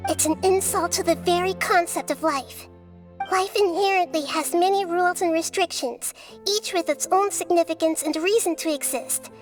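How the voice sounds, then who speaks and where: A woman's voice: extremely high pitch, normal speed. A woman playing a small, childlike goddess of wisdom in a video game, earnest, precise and thoughtful, with music behind. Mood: wary, firm. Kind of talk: dramatic video game voice acting.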